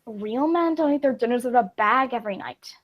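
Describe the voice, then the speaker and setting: An argumentative, self-assured actress in a stage comedy acted by a woman. Her voice is very high, and she delivers her scorn and indignation with emphatic theatrical force. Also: lively intonation, fairly quick, lo-fi sound. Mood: scornful.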